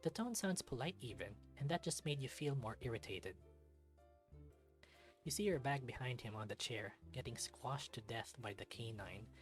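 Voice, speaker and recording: Casting: story narrator for a visual novel let's-read, medium pitch, expressive reading, music underneath. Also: moderate pace.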